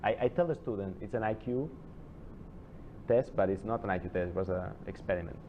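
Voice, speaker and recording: A man giving an informal classroom lecture, chatty and digressive, with many halting restarts and asides. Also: sits low, slight background noise. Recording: dull lo-fi classroom recording.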